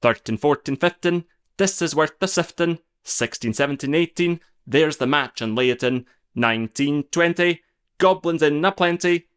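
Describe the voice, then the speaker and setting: A man's voice: on the high side, normal speed, clear, resonant tone, pitched above the performer's own voice, close to an everyday voice. A man voicing a young miner boy who chants a rhythmic work song. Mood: merry.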